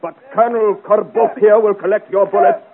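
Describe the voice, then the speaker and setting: An actor playing a bold, chivalrous young squire with defiant, declamatory speech in a 1950 radio serial. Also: male voice, high-pitched, fairly even intonation, brisk, crowd noise. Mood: cool, commanding. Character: bold, gallant young squire hero of great strength. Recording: noisy, tinny old 1950 radio recording.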